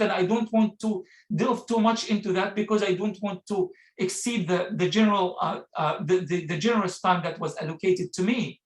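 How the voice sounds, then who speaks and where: A middle-aged man giving a rousing speech over a video call, with a few hesitant fillers. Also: high voice. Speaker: guest speaker. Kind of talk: speech at an online meeting. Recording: echoey room.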